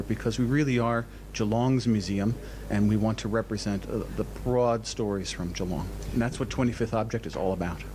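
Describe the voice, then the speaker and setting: A man giving explanatory interview answers as a museum director, enthusiastic but halting, often repeating words. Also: fairly low pitch, a little mellow, a little hiss or hum.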